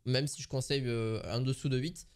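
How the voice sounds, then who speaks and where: A man's voice: medium pitch, fairly even intonation, a little fast, clear, resonant tone. A man giving a casual product review, hesitant and full of filler sounds and restarts.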